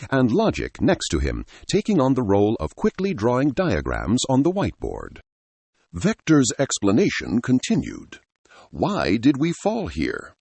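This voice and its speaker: A man's voice: on the low side, gravelly, husky, dry recording. A man reading a scripted story as narrator, in a dramatic storytelling style.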